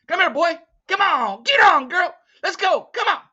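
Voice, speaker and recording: A man's voice: very high-pitched, lively intonation, moderate pace, pushed and bright, muffled recording, pitched above the performer's own voice, exaggerated voice. A man voicing an earnest, loyal young hunter boy in a storybook, with an urgent, pleading manner. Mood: urgent commanding. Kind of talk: storybook character acting.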